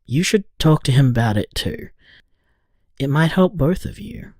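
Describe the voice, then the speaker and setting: An actor playing a tired, caring man who leaves a gentle, casual, reflective voicemail for his partner in an audio drama. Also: on the high side, expressive, unhurried, slightly rough, no echo, studio quality, full character voice.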